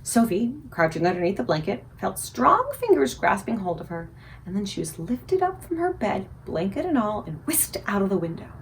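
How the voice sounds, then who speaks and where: A woman reading a children's story aloud expressively, with dramatic, suspenseful emphasis and deliberate pauses. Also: mid-range voice, big pitch swings, a little hiss or hum, low-quality recording. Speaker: librarian reading aloud for a book club.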